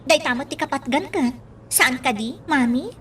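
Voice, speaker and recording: A woman playing a concerned young daughter in an Ilocano radio drama, pleading and gently scolding, with an Ilocano accent. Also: sits high, moderate pace, a little hiss or hum. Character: concerned, reproving young daughter soothing her mother.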